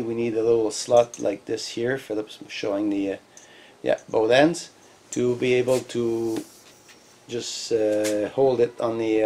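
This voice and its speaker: A middle-aged man casually explaining shop work as a hobby machinist, in a halting, hands-on way. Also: medium pitch, mellow. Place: cluttered garage machine shop. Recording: noisy workshop ambience.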